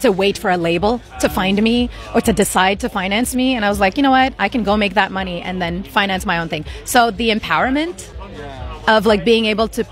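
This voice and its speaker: A woman's voice: mid-range voice, brisk, faint music behind. A young woman in a casual outdoor interview, talking informally and acting out the things she quotes. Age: young adult. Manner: informal, acts out quoted lines. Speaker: interviewee, music artist. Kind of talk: casual chat in a street interview. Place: outdoor patio at an event. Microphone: handheld interview mic.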